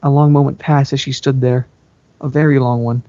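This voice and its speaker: A man's voice: mid-range voice, level tone, a little fast, warm tone. A young man giving a playful, theatrical amateur reading of a story. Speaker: amateur fan fiction reader. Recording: muffled, echoey home recording.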